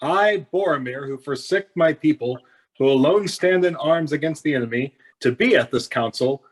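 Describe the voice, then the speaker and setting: A man's voice: a little high, normal speed, husky, rough recording. A man playing a boastful, impatient human warrior in a fantasy table read, theatrical and indignant.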